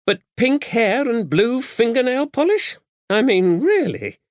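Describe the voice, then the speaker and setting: A stern, large-framed older nun as a male audiobook narrator plays her, gruff and matter-of-fact. The voice is lifted well up in pitch and moves in lively swoops. She is brusque and worldly-wise. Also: measured pace, no room sound, dull audio, heavily acted. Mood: disapproving. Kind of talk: audiobook character dialogue.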